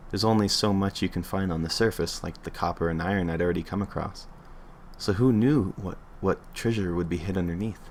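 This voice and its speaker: Very deep-voiced man reading a story. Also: a little fast, slight background noise. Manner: offhand, stumbles and corrects himself mid-read. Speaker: streamer reading a creepypasta. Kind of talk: casual reading aloud on a livestream.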